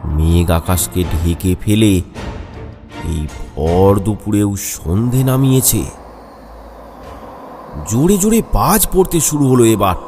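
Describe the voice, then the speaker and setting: A man with a fairly low voice narrates horror in a dramatic storytelling style. His pace is slow and deliberate, and music plays clearly underneath. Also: expressive, mellow. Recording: music behind the voice.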